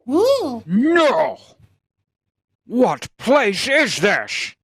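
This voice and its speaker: A woman's voice: on the high side, wildly swooping pitch, drawn-out words, husky, dry recording, clean studio sound, full character voice. A woman playing an anxious, hesitant young male scientist with orthodox beliefs in a radio sci-fi drama. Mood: terrified, pleading. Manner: hesitant, incredulous, protesting. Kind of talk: cold-read old-time radio sci-fi drama.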